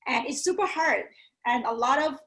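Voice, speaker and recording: A woman's voice: high voice, animated, normal speed, fairly bright tone. A woman from Thailand with a Thai accent, a yoga teacher talking earnestly as a guest, often adding "you know" and "right?". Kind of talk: casual interview chat.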